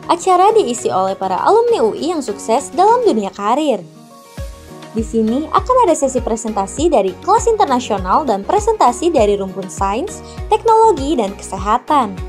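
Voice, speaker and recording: Lively woman reporter over music. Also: high voice, moderate pace. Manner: lively, emphatic reading. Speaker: news reporter. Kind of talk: scripted news narration.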